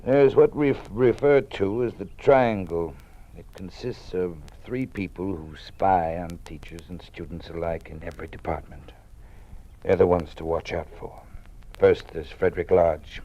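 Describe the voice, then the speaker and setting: Low-voiced, weary old college dean. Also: male voice, warm tone, highs cut off. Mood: cautioning, confiding. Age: older. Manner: measured, formal, fatherly. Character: weary, cautious old male college dean living in fear. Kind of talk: old-time radio drama acting. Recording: noisy vintage radio drama recording.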